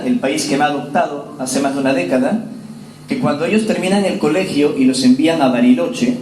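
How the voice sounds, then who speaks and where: A man in his forties from Peru giving a public lecture, telling his story to the audience in an earnest, measured way. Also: a little high, a little mellow, distant mic, slight background noise. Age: 40s. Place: lecture hall. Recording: roomy hall with faint ambience.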